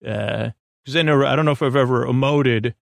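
Gravelly guy rambling through a bedtime podcast intro, trips over words, dry close mic. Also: medium pitch, no room sound. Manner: meandering, halting, many fillers and restarts. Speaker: podcast host.

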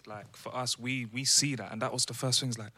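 A man, co-hosting a podcast conversation. His voice is bright and forceful at a middle pitch, and he asks eager, lively questions peppered with slang. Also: fairly quick. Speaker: podcast co-host. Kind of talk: casual conversation.

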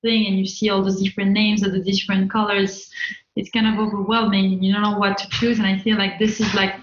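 A woman's voice: medium pitch, little pitch movement, fast talker. A woman hosting a podcast, chatting casually and effusively as she interviews and reviews products. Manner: effusive, informal. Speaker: podcast host. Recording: roomy, slightly dull sound.

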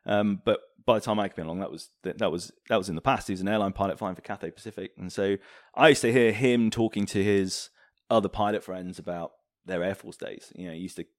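A man from Hong Kong chatting casually as an interview guest, telling anecdotes in an animated way. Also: sits low, fast, dry recording, studio quality. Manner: animated, chuckles as he tells anecdotes. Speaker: interview guest, former fighter pilot. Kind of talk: casual storytelling in a podcast interview.

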